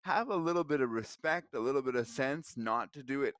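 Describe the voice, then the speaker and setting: Earnest man, medium pitch, dry sound. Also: a little fast, a bit bright, dry recording. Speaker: co-host, podcast host. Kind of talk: casual talk show opinion chat.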